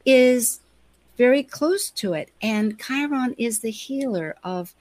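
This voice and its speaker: A woman hosting a live discussion, explaining things conversationally in a measured way. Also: a little high, animated, a little mellow, no echo. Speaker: host and astrologer.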